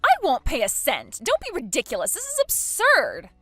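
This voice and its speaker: A woman voicing a shrill, entitled young woman who is spoiled and indignant. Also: huge pitch swings, normal speed, sharp tone, no echo, soft background music, full character voice. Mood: outraged. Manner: shrill, outraged, whiny.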